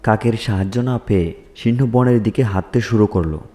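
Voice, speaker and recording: A male narrator reads a scripted fairy tale. His voice is rather low and mellow, with light music underneath. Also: fairly quick.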